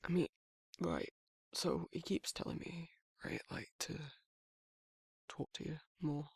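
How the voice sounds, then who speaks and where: Man playing a gravelly, soft-hearted werewolf. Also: a little high, flat delivery, dry recording, clean studio sound, heavily acted. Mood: shy, hesitant. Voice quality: gravelly, husky. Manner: halting, awkward, softly reassuring. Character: shy, protective, tender young male werewolf in love. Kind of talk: romantic ASMR audio roleplay, intimate and conversational.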